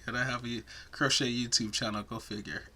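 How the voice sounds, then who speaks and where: Soothing soft-spoken male crochet teacher. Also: mid-range voice, fairly even intonation, a little fast. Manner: soft-spoken, chatty, self-deprecating asides. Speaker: crochet tutorial host. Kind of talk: step-by-step crochet instruction.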